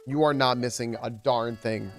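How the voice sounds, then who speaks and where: A man chatting casually on a live stream while playing a game. He rambles with many restarts and jumps up in pitch whenever he greets viewers. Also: fairly even intonation, normal speed, faint music behind.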